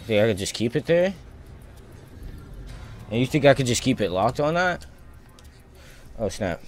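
A man's voice: medium pitch, big pitch swings, unhurried, soft background music. A man giving casual, slangy gaming commentary as a live streamer, muttering reactions as he plays.

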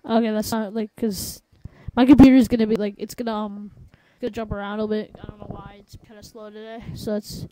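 A woman presenting a casual software tutorial in a halting, informal, offhand way. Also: medium pitch, little pitch movement, low-quality recording.

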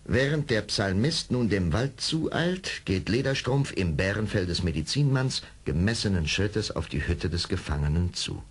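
Male narrator for an adventure radio play, rough-edged lowish voice, swinging intonation, dramatic scripted storytelling. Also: expressive, normal speed, few pauses.

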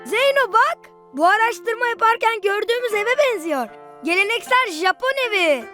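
A woman voicing a curious, eager little child in a children's cartoon, with an expressive, inquisitive delivery. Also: very high voice, moderate pace, exaggerated voice. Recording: music under the voice.

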